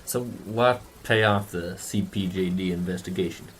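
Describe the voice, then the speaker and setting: A man's voice: deep voice, moderate pace, background noise. A man playing a modest, world-weary private detective in a noir radio drama, talking in an informal, folksy way. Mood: probing.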